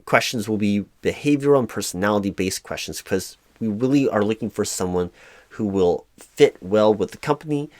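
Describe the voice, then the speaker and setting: A man with a low voice plays a mildly frustrated hiring manager in a one-sided interview roleplay. He speaks in a halting, flat, office-formal way, apologetic and a bit flustered. The sound is close and dry. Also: no room sound. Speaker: roleplay performer as recruiting manager.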